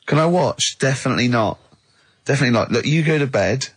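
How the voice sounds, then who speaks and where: A man's voice: medium pitch, lively intonation, quick pace, a little hiss or hum, rough recording. A man hosting a radio show, bantering and acting out comic quotes in a teasing, argumentative way. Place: radio studio.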